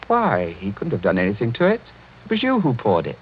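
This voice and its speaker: An actor playing a brave but edgy young lord in a radio mystery, speaking in a clipped, defiant way. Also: male voice, medium pitch, huge pitch swings, a little fast. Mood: nervous bravado. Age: young adult. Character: brave, brusque young English lord on edge. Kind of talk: 1940s radio mystery acting. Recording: muffled, noisy 1940s radio recording.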